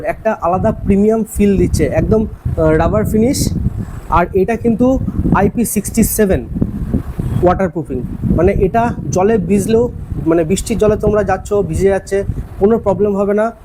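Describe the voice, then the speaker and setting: উঁচু গলার বাঙালি পুরুষ ভ্লগার, পেছনে স্পষ্ট আওয়াজ. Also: male voice, high-pitched, heavy background noise. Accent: Bengali, West Bengal. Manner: explanatory, addresses viewers directly. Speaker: vlogger and product reviewer. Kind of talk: casual unboxing review.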